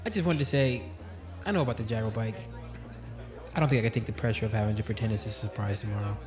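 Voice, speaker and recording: A man plays an earnest young son in an audio drama. He speaks quickly and eagerly, with clear music playing behind him. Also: on the low side, natural voice. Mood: nervous, eager.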